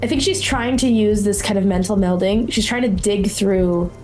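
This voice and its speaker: A woman playing a tabletop roleplaying game, haltingly improvising what her character does and thinking aloud. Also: mid-range voice, darkish tone, low-quality recording. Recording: faint music behind the voice.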